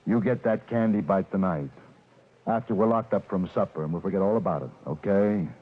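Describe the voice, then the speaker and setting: A man's voice: low-pitched, brisk. An actor playing a menacing, tough male prison bully who talks in clipped threats, in 1940s radio acting style. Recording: tinny old radio broadcast.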